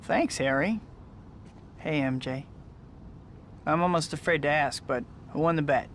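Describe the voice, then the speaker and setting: An earnest young student hero. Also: male voice, fairly high pitch, unhurried, fairly bright tone, slight background noise. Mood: friendly. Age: young adult. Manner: apologetic, polite. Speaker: student and pizza delivery worker.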